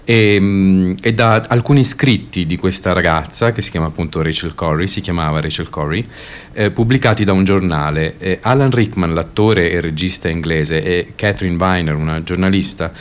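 Very low-voiced, halting man introducing theatre. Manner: halting, searching for words, repeating himself. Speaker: theatre director introducing excerpts. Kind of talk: spoken introduction to a radio play.

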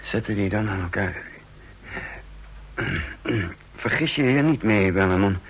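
Tense, low-voiced wounded secret agent. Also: male voice, lively intonation, brisk, husky, highs cut off. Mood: faltering, urgent. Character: tense, wounded male secret agent narrating his own mission. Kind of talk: radio drama acting and first-person narration.

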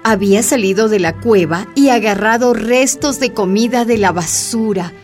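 A woman's voice: fairly high pitch, moderate pace, faint music behind. A woman narrating a scripted radio drama as storyteller.

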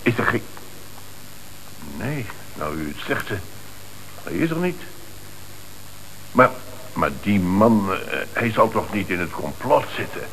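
An earnest company director in a Dutch radio play, agitated and prone to halting repetitions. He speaks with a Netherlands Dutch accent on an old, faintly hissy recording. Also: lowish voice, expressive, a bit bright, poor audio. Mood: flustered, shocked. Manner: halting, repeats words when rattled.

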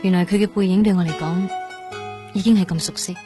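A woman's voice: on the low side, fairly even intonation, quick pace, lightly acted. A woman acting a wistful young woman musing on fate in a radio drama, with a Hong Kong Cantonese accent. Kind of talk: introspective radio drama narration. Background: soft music.

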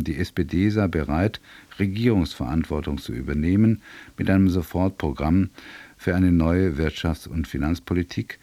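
Guy hosting a radio news show, really deep mellow voice, kinda wry and wordy. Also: no echo. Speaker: radio news programme presenter. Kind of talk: scripted radio presentation with wry commentary.

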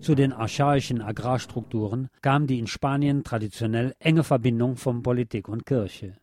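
A man reading a scripted historical documentary for radio in a measured, formal manner. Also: mid-range voice, darkish tone, faint music behind. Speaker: radio narrator.

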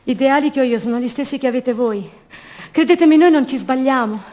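An actress playing a young, idealistic student activist held prisoner, delivering an intense, passionate theatrical monologue for radio drama. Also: female voice, sits high, fast, close to an everyday voice. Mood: defiant, fervent. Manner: intense, swinging from hushed repetition to fervent declaiming.

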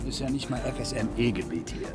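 Radio drama doctor: actor as dry, reluctant man, medium pitch, calm, loud music behind. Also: fast, lightly acted. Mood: dry, grudging. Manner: calm, matter-of-fact. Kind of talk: radio play dialogue.

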